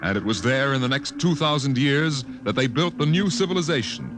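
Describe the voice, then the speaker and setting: A man narrating a 1950s radio science fiction show in a portentous, measured, theatrical announcer style. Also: medium pitch, lively intonation. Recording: old radio broadcast over loud music. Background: loud music.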